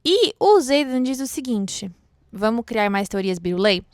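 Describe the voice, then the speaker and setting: A Brazilian woman with a fairly high voice talks through a book in a casual, chatty way. She is expressive and gushing as she comments for her audience of fellow readers. The recording is close and dry. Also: measured pace, no echo. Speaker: host of a book read-along show. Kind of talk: casual book commentary with excerpts read aloud.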